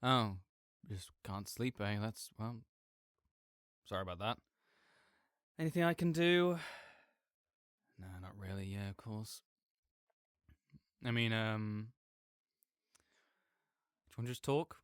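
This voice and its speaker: A man plays an easygoing, apologetic gamer roommate in a late-night audio roleplay. His voice is clear and smooth, captured dry and close. He talks in a casual, rambling way, often doubling back on himself with mild exasperation. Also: on the low side, animated, slow pace, long pauses, fairly bright tone, no echo. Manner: rambling, hesitant, self-interrupting, mildly exasperated. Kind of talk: casual improvised-sounding audio roleplay monologue.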